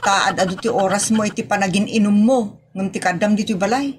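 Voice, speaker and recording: A woman's voice: medium pitch, fairly quick, slightly rough, natural voice. A woman with an Ilocano accent playing a nagging, long-suffering woman in a comedic audio drama. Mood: reproachful.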